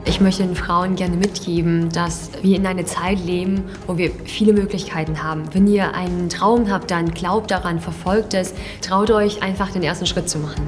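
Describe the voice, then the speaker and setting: Earnest woman in her 20s from china, lowish voice, long reverb with soft music behind. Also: steady tone, moderate pace, few pauses. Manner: earnest and reflective, with small hesitations. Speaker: social startup founder. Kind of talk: personal portrait interview.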